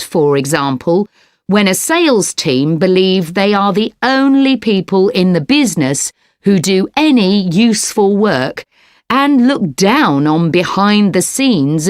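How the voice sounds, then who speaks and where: A composed business studies lecturer, speaking slowly with lively intonation. Her scripted academic lecture is formal and explanatory. The recording is very dry. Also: medium pitch, no echo. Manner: formal, clearly structured explanation.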